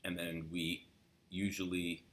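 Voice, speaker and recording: A deep-voiced middle-aged man talks casually to the camera. He rambles a little, drops in fillers, and wanders through his points at an easy pace. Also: level tone, takes it slowly. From New York. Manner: rambling, filler-heavy, acts out quoted LA speech with rising upswing. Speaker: accent coach. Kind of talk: casual instructional talk to camera.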